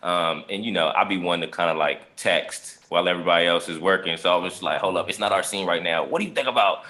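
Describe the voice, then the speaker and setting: A man's voice: low-pitched, fast, sharp tone. A man chatting casually as an actor on a video-call panel, loose and colloquial, addressing his colleagues directly. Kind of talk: casual chat.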